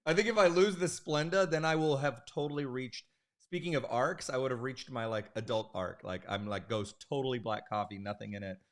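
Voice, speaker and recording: A man hosting an online art event with a slightly hoarse voice from the flu, chatting casually and rambling, with lots of fillers and jokes at his own expense. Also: medium pitch, a little fast. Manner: rambling, filler-heavy and self-joking. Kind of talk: casual livestream chat. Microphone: streaming mic.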